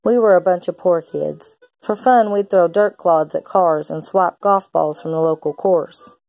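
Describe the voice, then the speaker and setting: A woman's voice: on the low side, unhurried, gravelly, a little mellow. A woman reading a children's picture book aloud like a teacher, expressively acting out the characters' lines.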